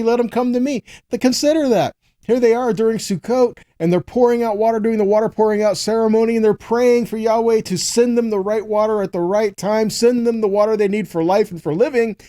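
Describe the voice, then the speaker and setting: A man with a soft-edged, mellow voice pitched a little high teaches from scripture. He reads verses and then explains them in a didactic style, punctuated by brief questions to the listener. The sound is dry, with very little room in it. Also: no room sound. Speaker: Bible teacher.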